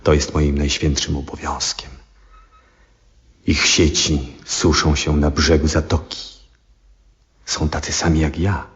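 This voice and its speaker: Old 70s radio drama, bit muffled, brooding old astronomer, super deep voice, thoughtful and earnest. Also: male voice, little pitch movement. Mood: brooding, tender. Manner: reflective, earnest, measured theatrical delivery. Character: Copernicus, aging, brooding, compassionate astronomer. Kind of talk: 1970s Polish radio drama monologue. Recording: dull 1970s radio recording.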